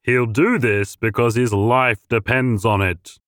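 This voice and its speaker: A man voicing a scruffy, clever male mutt dog who speaks loudly and firmly, in a storybook reading. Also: mid-range voice, fairly even intonation, takes it slowly, dry recording, studio quality. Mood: scheming.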